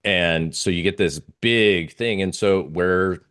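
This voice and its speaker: A man giving informal live market commentary as a guest analyst, halting and searching for his words. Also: low voice, fairly bright tone, clean tone.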